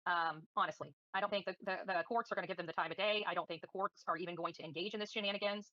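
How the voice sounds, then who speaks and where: Fast-talking woman, bright forceful voice. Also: fairly low pitch, steady tone, dry recording, dull audio. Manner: combative, defiant, scornful. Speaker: livestream host. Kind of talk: livestream commentary, answering viewer comments.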